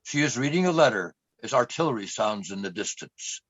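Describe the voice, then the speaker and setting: A man's voice: lowish voice, sing-song, fairly quick, a bit bright, no echo, muffled recording. A man reading scripted stage directions as the narrator of a radio play.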